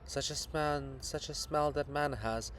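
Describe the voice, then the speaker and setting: A man voicing a monument of a brooding, fatherly old poet in a stage drama, speaking in a solemn, searching, deliberate way. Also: fairly high pitch, steady tone, a bit bright, slight background noise. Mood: probing, brooding.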